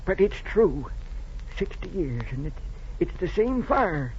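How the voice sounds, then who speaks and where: An actor playing a loyal, plain-spoken ranch hand in 1940s radio drama, folksy and insistent, with rustic grammar. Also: male voice, high voice, sing-song, unhurried, darkish tone, slightly rough, full character voice. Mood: earnest, insistent. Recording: muffled noisy old radio recording.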